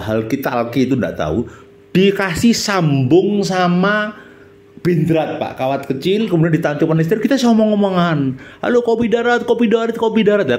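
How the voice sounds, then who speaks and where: A middle-aged man speaks in a Central Javanese accent with a very animated, swooping pitch. He talks like a chatty host reminiscing aloud. He often checks in with his listener through short questions. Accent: Javanese Indonesian, Central Java. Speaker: channel host, former radio announcer. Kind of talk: reminiscing monologue with a companion chiming in.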